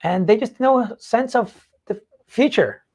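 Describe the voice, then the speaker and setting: Co-host just chatting, blunt and opinionated, kinda halting, medium pitch, swears a bit. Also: lively intonation, a little mellow, husky. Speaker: talk show co-host. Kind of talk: casual opinionated chat.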